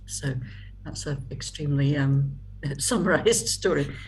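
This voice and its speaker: A middle-aged Scottish woman from Scotland, a guest in a casual video-call interview, talking haltingly with many fillers and restarts. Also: deep voice, darkish tone, slight background noise, low-quality recording.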